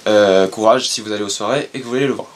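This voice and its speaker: A man's voice: fairly low pitch, fast, a little hiss or hum. A young man co-hosting a casual chat, steadily explaining news and announcements. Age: young adult. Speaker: co-host, son. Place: room with shelves of toys and merchandise.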